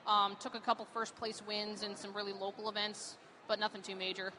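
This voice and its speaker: A woman's voice: medium pitch, little pitch movement, fairly quick, bright, forceful tone, slight background noise. A woman from Pittsburgh, a cosplayer, chatting enthusiastically in an event interview with many fillers. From Pittsburgh, USA. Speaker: cosplayer guest.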